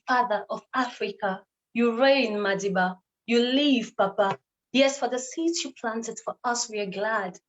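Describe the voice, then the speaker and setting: A woman's voice: fairly high pitch, dull audio. A woman from Cameroon performing a spoken word poem in a rhythmic, declamatory way, with repeated refrains.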